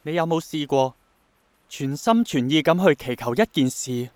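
An actor playing an idealistic, gentle young man in a radio drama, reflective and earnest, with a Hong Kong accent. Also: fairly high pitch, lively intonation, normal speed, no echo, lightly acted. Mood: gentle, encouraging. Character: idealistic, persistent young man longing for rain to return.

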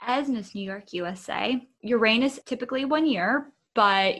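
A woman's voice: on the high side, normal speed, fairly bright tone. A woman interviewer who asks questions in a friendly, encouraging way. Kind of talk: conversational interview.

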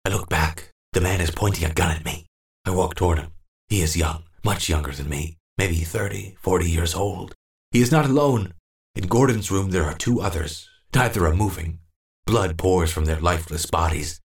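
A man's voice: sits low, normal speed. A man voicing a frightened, confused old man in a horror audiobook, muttering and repeating himself in first person. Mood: uneasy. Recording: roomy, distant echo.

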